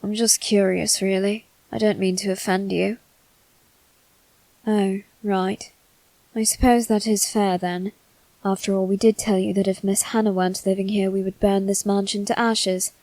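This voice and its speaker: A woman voicing a male demon servant in a scripted ASMR roleplay, speaking formally and courteously with soft, flirtatious teasing. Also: mid-range voice, little pitch movement, normal speed, dry recording, natural voice, low-quality recording. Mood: calm, wistful.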